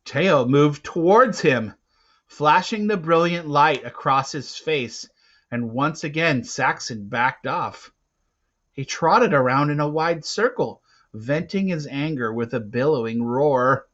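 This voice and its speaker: Slow, theatrical male storyteller, dull recording. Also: mid-range voice. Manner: theatrical, emphatic, with swings from hushed to loud. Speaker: reader of a children's adventure novel. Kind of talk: dramatic read-aloud narration.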